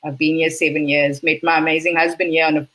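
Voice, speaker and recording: A woman from South Africa with a South African accent, a guest in a casual interview, telling her story in a chatty, lively way. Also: sits low, fast. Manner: chatty, animated storytelling with laughs.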